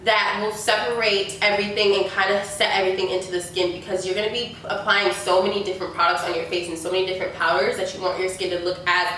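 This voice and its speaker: A woman's voice: mid-range voice, little pitch movement, fast talker, hardly any pauses, pushed and bright. A young woman in her twenties, stuffy from a sinus infection, chatting casually through a Q&A with lots of likes and ums. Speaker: beauty YouTuber. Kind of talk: casual Q&A chat. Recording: echoey room, mic at a distance, faint noise.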